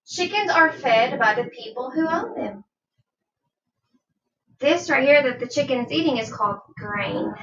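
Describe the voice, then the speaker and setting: A woman reading a nonfiction children's book aloud like a teacher, deliberate and instructive, with questions to her young listeners. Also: sits high, expressive, fairly bright tone. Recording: dull, roomy sound, distant from mic.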